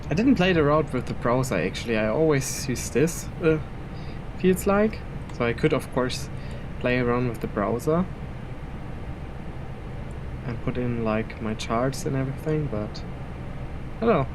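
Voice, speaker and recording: A man's voice: mid-range voice, animated, unhurried, pauses often, background noise. A young man, a native German speaker, chatting casually in English through a flight sim session at his home PC, rambling and chuckling between thoughts. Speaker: flight simulator streamer. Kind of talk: casual gameplay commentary.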